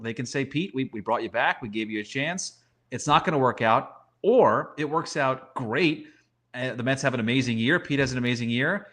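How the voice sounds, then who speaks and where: A man's voice: mid-range voice, a bit bright. A man talking through sports analysis as a guest, in a conversational way.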